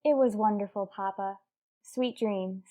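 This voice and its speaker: A woman acts an unhappy young married woman in a radio drama. Her voice sits at a middle pitch, and she speaks with a worried, defensive edge toward her family. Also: measured pace, mellow, natural voice. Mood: uneasy, polite. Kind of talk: radio soap drama acting.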